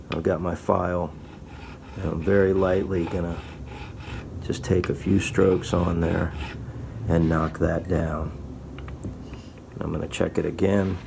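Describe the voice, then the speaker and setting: A man's voice: low-pitched, gravelly. A man in his fifties giving a casual, folksy workshop tutorial, patiently explaining each step as a guitar repair technician. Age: 50s. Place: small indoor guitar workshop. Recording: faint background room noise.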